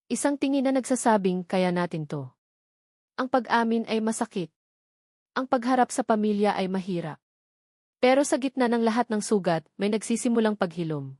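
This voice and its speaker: Woman narrator for a romance audio drama, measured and emotive. Dry, close sound. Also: fairly high pitch, a little mellow, dry recording. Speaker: storyteller.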